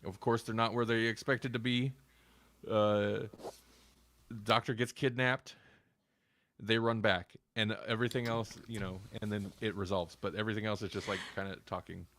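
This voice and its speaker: A man's voice: fairly low pitch, flat delivery, normal speed, fairly bright tone, slight background noise. A man co-hosting a podcast, talking casually in a rambling, self-interrupting way. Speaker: podcast co-host. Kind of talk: casual review chat.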